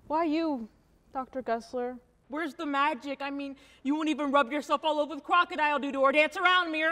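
A woman's voice: high-pitched, distant mic. A young woman acting a dramatic monologue as a wry, defiant woman in labour, breathless and pleading. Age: young adult. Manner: dramatic, breathless, with sardonic asides. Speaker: student actor. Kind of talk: theatrical monologue performance.

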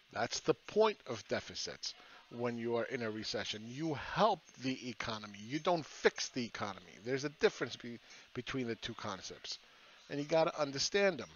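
A man's voice: fairly high pitch, highs cut off. A man hosting his own channel, ranting sarcastically over charts and firing rhetorical questions at critics.

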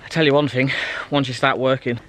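A man's voice: medium pitch, little pitch movement, a little fast, very noisy. A man in his thirties with a British accent, chatting casually to the camera outdoors in a forest, rambling with fillers and restarts. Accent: British English. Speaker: bushcraft vlogger. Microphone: handheld camera at arm's length. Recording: background music behind the voice.

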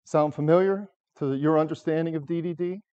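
A loud, engaging middle-aged man from the United States speaks to a seated audience in a lecture room, his fairly high voice carrying without a microphone. The sound is dull and lo-fi, caught by a camera at the back of the room. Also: fairly even intonation, a little fast, smooth. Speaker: software architect giving a talk. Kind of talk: conference talk to a live audience. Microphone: far camera, no microphone.

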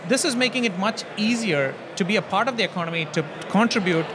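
A man's voice: sits high, fast talker. A man in his forties, a guest in a conference interview, arguing his points with rhetorical questions into a handheld mic in a hotel hall. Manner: argumentative, uses rhetorical questions. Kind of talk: conversational interview. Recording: noisy background.

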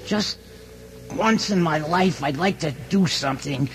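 Slow-talking, timid lonely mailman. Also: on the high side, vocal fry, poor audio. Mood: meek, downcast. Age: around 30. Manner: hesitant, meek, halting. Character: lonely, timid, self-doubting mailman nearing thirty. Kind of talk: radio drama acting.

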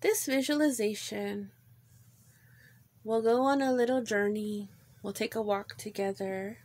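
A woman leading a guided visualization in a gentle, informal way, pausing between short phrases. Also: medium pitch, fairly even intonation. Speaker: guided meditation leader. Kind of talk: guided meditation, visualization narration.

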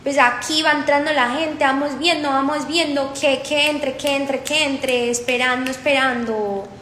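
A woman's voice: high-pitched, a bit bright, roomy sound, slight background noise, rough recording. A woman in her twenties from Colombia with a paisa accent, chatting casually on a phone livestream and reading comments aloud. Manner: chatty, repetitive, mixes in English. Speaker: livestream host. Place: room indoors. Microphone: phone held close.

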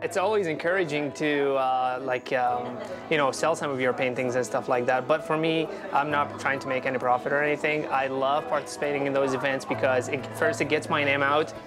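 A young man from Iraq talks casually in an indoor gallery, filling gaps with "uh" and "you know." His voice sits at a middle pitch, picked up on a lapel mic. A music bed plays clearly beneath him. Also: a little fast. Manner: relaxed, rambling with many fillers. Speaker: artist interviewee. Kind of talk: casual interview.

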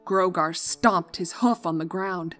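A woman's voice: fairly low pitch, takes it slowly, a bit bright. Woman narrating a story over music. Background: background music.

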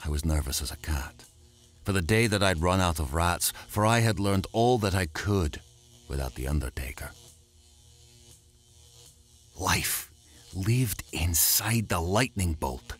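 A man's voice: a bass voice, exaggerated voice. An actor playing a tormented male mad scientist, delivering a solemn, theatrical rhyming monologue in a gothic horror audio drama. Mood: defiant, obsessed, then awed, hushed. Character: tormented, brooding male mad scientist confessing his blasphemy.

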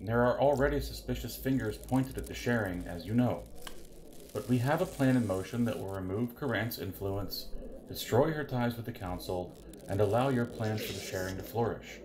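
A man's voice: fairly low pitch, normal speed, darkish tone, lightly acted. A man playing a formal, obsequious henchman reporting schemes to his master in an audio drama. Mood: deferential, scheming. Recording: noisy covert room recording.

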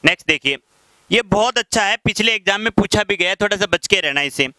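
A male teacher in his thirties with a Rajasthani accent, whose high, crisp voice is picked up dry and close by a headset mic. He explains vocabulary patiently at the whiteboard and slows down whenever he reads out English example sentences. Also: lively intonation, pushed and bright, no room sound, rough recording. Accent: Rajasthani Hindi. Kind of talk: classroom lecture explaining English vocabulary in Hindi. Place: classroom in front of a whiteboard.

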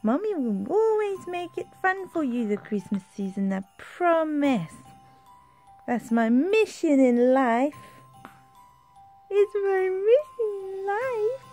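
A woman with a high voice vlogs at home, talking slowly to her little children. Her delivery swings up and down in an animated, sing-song way, with some music in the background. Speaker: vlogger and mother. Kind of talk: casual home vlog chat, talking to young children. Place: indoors at home, kitchen and bedroom.

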